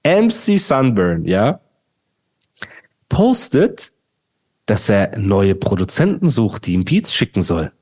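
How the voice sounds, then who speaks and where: A man's voice: lowish voice, sing-song, slow pace, pauses often, darkish tone, breathy edge. A male podcast host ranting and mocking in casual, slangy chat.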